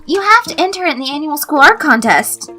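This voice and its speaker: A woman's voice: high-pitched, sing-song, a little fast, sharp tone, soft background music, pitched above the performer's own voice, heavily acted. A woman voicing a sweet, shy and earnest teenage schoolgirl in a story. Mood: encouraging.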